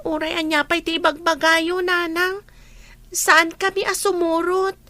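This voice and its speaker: Actress doing stubborn emotional ilocano lady in a radio drama, high n bright voice. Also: little pitch movement, a little slow, no room sound, full character voice. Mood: defiant.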